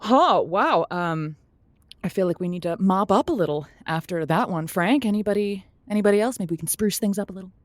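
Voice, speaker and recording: A woman's voice: medium pitch, expressive, a little fast, no room sound, lightly acted. A woman playing a frank, sympathetic advice host on an improvised comedy call-in show. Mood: frank, counselling.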